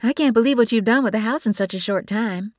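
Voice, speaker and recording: A woman's voice: fairly high pitch, fast, dry recording, clean studio sound, pitched above the performer's own voice, lightly acted. A woman voicing a breezy, blunt young woman who teases her sister. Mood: teasing. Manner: blunt, lively and teasing.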